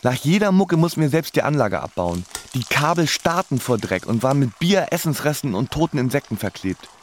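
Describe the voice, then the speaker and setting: A man's voice: mid-range voice, very animated, brisk, slight background noise, lightly acted. An actor playing a wry band musician who narrates his shabby past in ornate, mock-literary wording in a radio play. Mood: wry, reflective.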